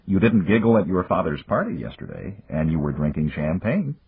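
A man voicing a suave, casual businessman in an audiobook, breezy and gallant with a gently teasing way of talking. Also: low-pitched, big pitch swings, brisk, vocal fry, breathy edge, full character voice. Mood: charming, teasing. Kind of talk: audiobook character voice. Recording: echoey room, muffled narrow-band sound.

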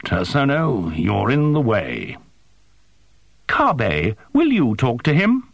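A man's voice: medium pitch, wildly swooping pitch, slow pace, vocal fry, no echo, voice pushed up, heavily acted. A man voicing a languid, stubborn male composer in an audiobook, drawling with dry sarcasm. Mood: languid dismissal. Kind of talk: audiobook character voice.

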